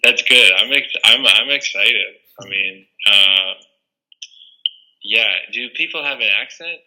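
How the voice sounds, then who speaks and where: A Canadian man chatting casually as an interview guest, halting and understated. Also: lowish voice, sharp tone, low-quality recording. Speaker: stand-up comedian, guest.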